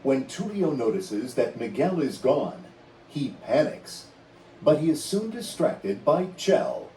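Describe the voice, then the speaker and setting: Measured, expressive male storybook narrator. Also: mid-range voice, reverberant, distant mic, slight background noise, rough recording. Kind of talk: scripted storybook read-along narration.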